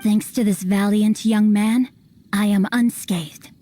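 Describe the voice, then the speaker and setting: A woman's voice: mid-range voice, fairly even intonation, slow pace, mellow, a little hiss or hum, close to an everyday voice. A woman voicing a refined, bookish young nobleman, eloquent, formal and self-assured, in a theatrical video game style. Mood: proud, composed.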